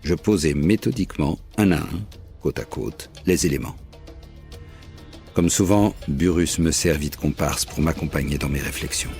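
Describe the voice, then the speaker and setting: An actor playing a proud, methodical Roman prefect narrating his investigation in measured, literary French. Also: extremely low pitch, darkish tone, faint music behind. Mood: measured recounting. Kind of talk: scripted first-person drama narration.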